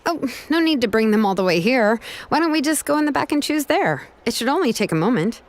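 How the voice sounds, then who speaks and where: A woman's voice: sits high, very animated, fairly quick, a little hiss or hum. A woman voicing a helpful, persuasive young artisan in a video game, casual in manner.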